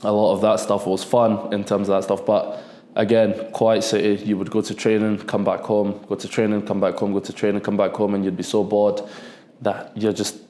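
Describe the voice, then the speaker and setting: A young man in his twenties, talking casually in a podcast interview, relaxed and rambling, often repeating himself. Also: fairly low pitch, normal speed. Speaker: football defender, guest. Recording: echoey room.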